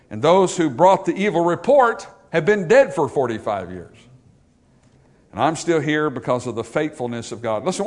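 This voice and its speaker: A man preaching a sermon, swinging from loud emphatic peaks to quiet low asides.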